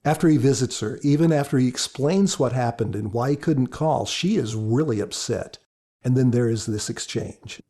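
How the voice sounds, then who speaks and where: Man, mid-pitched, soft dark tone, husky, author giving scripted commentary. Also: moderate pace. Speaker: author, podcast guest.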